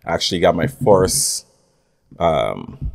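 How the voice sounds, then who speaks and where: Very deep Guyanese man, relaxed. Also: slow pace, vocal fry. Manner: relaxed, rambling with many fillers. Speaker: guest, recording artist. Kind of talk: casual interview. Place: podcast studio.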